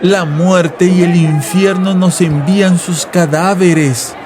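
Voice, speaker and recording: Fanatical male revival preacher, played by a male narrator, fervent and declamatory, voice pushed high over loud music, radio drama. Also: fairly even intonation, slow, crowd noise. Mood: wrathful, fervent. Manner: declamatory, shouting, incantatory repetition.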